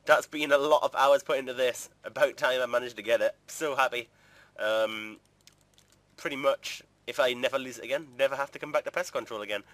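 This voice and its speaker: A man's voice: medium pitch, fairly quick, fairly bright tone, no room sound. Casual man chatting through gameplay. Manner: chatty, informal rambling. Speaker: gaming video creator.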